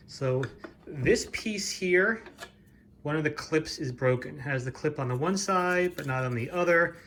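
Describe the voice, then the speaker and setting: Male reseller-vlogger, voice a touch high, casual unscripted show-and-tell, matter-of-fact, noisy home recording. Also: a bit bright. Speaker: flea market reseller hosting own show.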